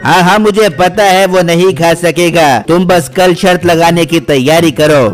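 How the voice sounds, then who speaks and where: A man playing a sly, greedy, wheedling pizza shop owner in an animated moral story, with exaggerated theatrical delivery. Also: high voice, normal speed, close to an everyday voice.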